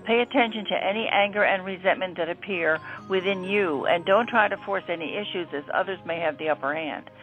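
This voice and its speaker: A woman's voice: lowish voice, brisk, hardly any pauses. A woman presenting an astrology segment on radio, reading from a script. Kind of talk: scripted radio horoscope narration. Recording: dull lo-fi audio. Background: faint music.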